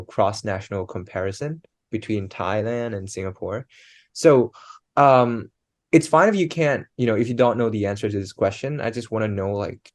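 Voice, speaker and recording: A young man interviews a classmate, his questions loose and hesitant with "um" and "you know". His voice sits a little low, recorded dry and close. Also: a little mellow, no echo. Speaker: student interviewer. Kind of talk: casual research interview.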